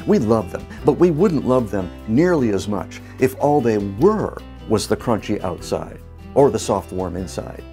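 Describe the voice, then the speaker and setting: A man in his fifties hosting a marketing show, speaking to camera in a playful, lively, gently joking way on a lapel mic in a studio. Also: on the low side, measured pace, faint music behind. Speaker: marketing coach and show host. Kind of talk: scripted talk to camera. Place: bright studio set.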